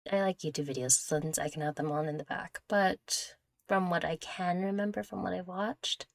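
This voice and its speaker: Low-pitched young woman, close dry sound. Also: steady tone, normal speed, vocal fry, dry recording. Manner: rambling, giggly, self-deprecating. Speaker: content creator answering viewer questions. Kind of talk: casual Q&A chat.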